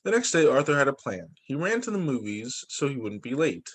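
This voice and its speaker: A man working as a teacher, reading children's storybooks aloud in an expressive way. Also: mid-range voice, fairly quick, slightly rough. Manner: expressive, acts out dialogue for young children.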